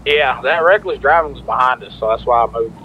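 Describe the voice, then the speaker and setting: A man's voice: medium pitch, brisk, lo-fi sound, slight background noise. An American man with a folksy way of talking, chatting casually over game radio. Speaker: player in online police roleplay.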